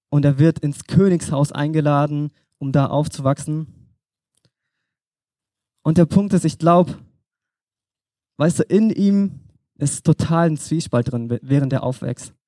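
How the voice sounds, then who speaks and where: A man's voice: a little high, mellow, no room sound, studio quality. A young man from Germany preaching an informal sermon, talking conversationally and addressing the listener directly.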